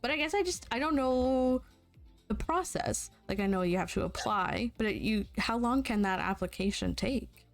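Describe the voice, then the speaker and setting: A young adult woman hosting a relaxed interview, her voice a little high. She teases her guest playfully and laughs easily. Faint music sits behind her. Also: moderate pace. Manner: playful, teasing, laughs often. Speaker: interview host. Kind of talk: casual interview chat.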